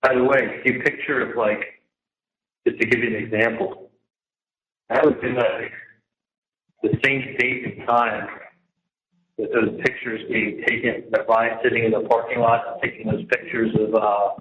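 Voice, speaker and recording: A man's voice: medium pitch, flat delivery, moderate pace, pauses often, crowd noise. A man talking casually and at length on a jail phone call, emphatic and repetitive, often trailing off mid-sentence. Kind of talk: casual, rambling private phone conversation. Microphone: telephone handset. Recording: muffled, noisy, echoey jail phone line.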